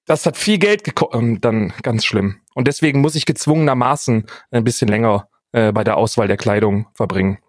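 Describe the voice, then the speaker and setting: A man's voice: mid-range voice, lively intonation, fairly quick, few pauses, no echo. Man telling self-deprecating stories, dry close sound. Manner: self-deprecating, dramatic exaggeration. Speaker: podcast co-host. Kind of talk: casual personal storytelling.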